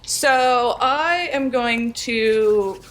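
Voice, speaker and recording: Unhurried, higher-voiced woman player. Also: a bit bright. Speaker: tabletop game player. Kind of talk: casual game-table chat.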